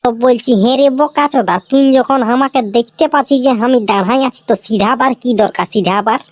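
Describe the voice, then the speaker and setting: A performer puts on a high-pitched cartoon schoolboy from a village, with a Purulia accent. He is brash and easily panicked, arguing in exclamatory rustic slang in a comic style. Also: quick pace, close to an everyday voice. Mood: scornful, brash. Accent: Purulia, West Bengal. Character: panicky, foul-mouthed teenage village schoolboy facing his exam.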